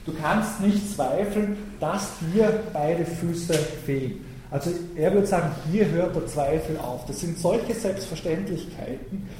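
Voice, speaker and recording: A man's voice: fairly high pitch, a little slow, dark tone. A man giving an academic talk and answering questions, thoughtful and hesitant, with many fillers. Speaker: lecturer. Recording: very echoey room, faint noise.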